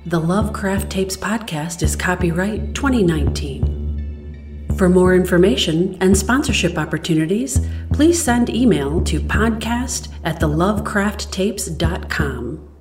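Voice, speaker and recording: A woman's voice: lowish voice, expressive, measured pace. Formal woman announcer over music. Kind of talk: scripted outro announcement. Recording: music playing clearly behind.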